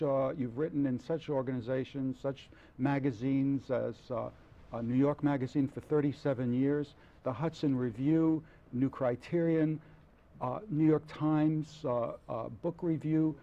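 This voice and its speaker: A man's voice: mid-range voice, steady tone, lo-fi sound. An older man hosting a studio interview, hesitant, with frequent fillers and restarts. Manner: halting, many fillers and restarts, admiring. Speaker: TV interview host. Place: dark TV studio set. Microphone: lapel mic.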